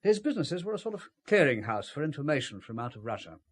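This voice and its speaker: A calculating British spymaster in a radio spy drama. His voice is slightly high and husky, with a very mobile melody. He speaks in an urbane, understated way, evasive and unruffled. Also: sing-song, fast. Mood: composed, explanatory.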